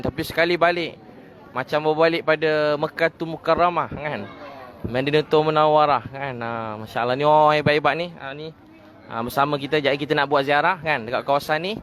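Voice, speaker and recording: A Malaysian man preaching informally at a quick clip, with a mid-range voice. His delivery is conversational, circling back on phrases and checking in with short tag questions. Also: mid-range voice, fairly bright tone. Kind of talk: informal religious talk.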